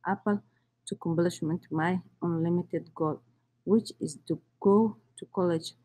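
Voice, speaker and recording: A woman, reading her own life story in halting English. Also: on the low side, steady tone, warm tone, poor audio. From Somalia. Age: 30s. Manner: halting, with restarts and non-native grammar. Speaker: immigrant storyteller.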